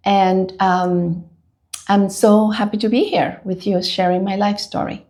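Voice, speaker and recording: A woman in her seventies with a Dominican Spanish accent, telling her life story as an interview guest in a measured, reflective way. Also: medium pitch, flat delivery, a little mellow. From Dominican Republic. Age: 70s. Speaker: podcast guest.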